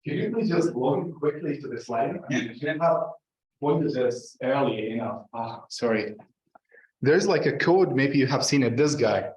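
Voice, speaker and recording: A man's voice: mid-range voice, lively intonation, darkish tone, a little hiss or hum. Guy w palestinian arabic accent doing an informal lecture, stop and start, far from the mic, super echoey. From Gaza, Palestine. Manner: conversational, halting, frequently checks in with "right?" and "like". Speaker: professor, invited speaker. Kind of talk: informal academic presentation. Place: indoor lecture room. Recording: very echoey room, distant muffled mic.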